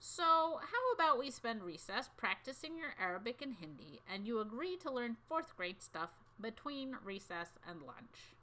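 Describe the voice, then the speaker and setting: A woman voicing a kindly, curious male fourth grade teacher in a storybook, with patient, gentle questions. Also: on the high side, big pitch swings, moderate pace, hardly any pauses, voice pushed up, poor audio. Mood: friendly, encouraging.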